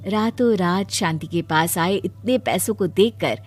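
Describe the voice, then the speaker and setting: A woman narrating a children's cartoon moral story in a steady, scripted way. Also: fairly low pitch, a little slow, soft background music.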